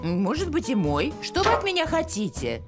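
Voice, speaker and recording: Haughty cartoon auntie; middle-aged, self-centred, highly animated, loud music behind. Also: female voice, moderate pace. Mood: dismissive. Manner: dismissive, theatrical.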